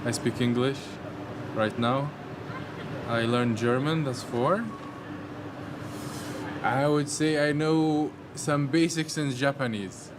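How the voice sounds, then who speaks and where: Slow-spoken man from Palestine outdoors. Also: medium pitch, fairly even intonation. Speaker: passerby interviewee. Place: outdoors on a city bench. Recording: outdoor street noise.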